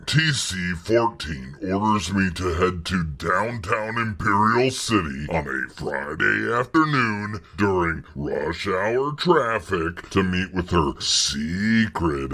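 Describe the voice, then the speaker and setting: A man's voice: sits low, expressive, takes it slowly, gravelly, few pauses, pushed and bright, heavily acted. A man voicing an anxious, servile droid henchman who stammers and grumbles in a comic sci-fi radio drama. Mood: grumbling, exasperated. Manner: stammering, obsequious.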